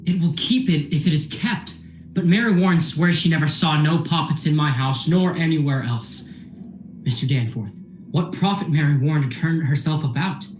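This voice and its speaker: A roomy echo surrounds a man acting a plain-spoken husband in a radio play. His voice sits a little high for a man. He is insistent, earnest and defiant. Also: normal speed, natural voice. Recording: echoey room sound.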